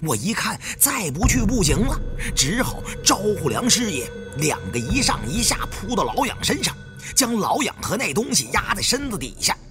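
Very animated male audiobook narrator. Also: high voice, moderate pace, music in the background. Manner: storyteller's expressive delivery. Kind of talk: dramatic scripted first-person narration.

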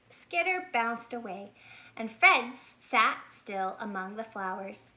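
A woman reads a picture book to children. Her delivery is slow and deliberate, with very lively intonation and a bright, fairly high voice. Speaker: storybook reader. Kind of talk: expressive children's read-aloud. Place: small plain room indoors.

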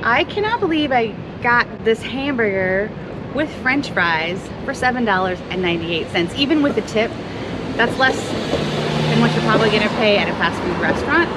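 A middle-aged woman talks brightly into a camera held at arm's length, reviewing a meal with chatty enthusiasm. Her voice sits a little high, and loud street traffic roars behind her. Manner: chatty, enthusiastic, addresses viewers directly. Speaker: food vlogger. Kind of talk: casual food review. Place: diner booth, then a city sidewalk outdoors. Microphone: handheld camera at arm's length.

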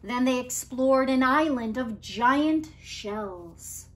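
Animated, high-voiced woman reading aloud. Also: a little hiss or hum. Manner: deliberate, expressive storytelling delivery. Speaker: read-aloud storyteller. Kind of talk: expressive picture-book read-aloud for children. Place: living room at home, indoors.

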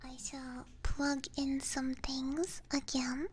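Softly whispering young woman, slow ASMR. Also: high-pitched, level tone, slight background noise. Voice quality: soft, breathy whisper. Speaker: ASMR streamer. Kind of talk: whispered ASMR livestream chat. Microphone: close binaural ASMR microphone.

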